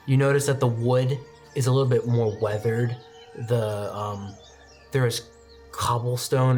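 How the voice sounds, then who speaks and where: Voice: medium pitch, a little mellow. Game master narrating over music. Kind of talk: improvised tabletop game narration. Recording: music behind the voice. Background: background music.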